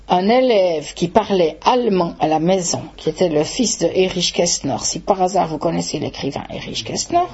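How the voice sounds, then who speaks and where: An older woman teaching at a public talk, explaining in a measured way and stretching words for emphasis, with a slightly husky voice. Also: medium pitch, a little mellow, highs cut off. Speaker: Esperanto teacher giving a talk. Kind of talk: public talk with audience questions.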